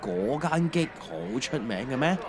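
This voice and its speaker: A middle-aged man with a Hong Kong Cantonese accent, playing a mild husband who narrates an audio drama in a reflective, unhurried way. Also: mid-range voice, very animated, a little mellow. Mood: casual, teasing. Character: mild, bumbling husband narrating his family's emigration. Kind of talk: scripted first-person narration in an audio drama.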